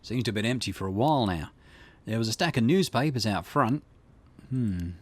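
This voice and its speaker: A man reading video game dialogue aloud in an expressive way, with the occasional small stumble. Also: medium pitch, brisk, slight background noise. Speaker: gaming channel host reading a visual novel aloud.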